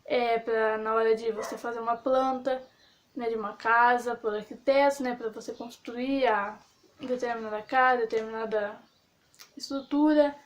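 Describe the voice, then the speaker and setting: A woman's voice: fairly high pitch, fairly even intonation, moderate pace, muffled recording. A young woman giving her opinion in a casual vlog, rambling with many fillers and restarts. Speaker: vlogger.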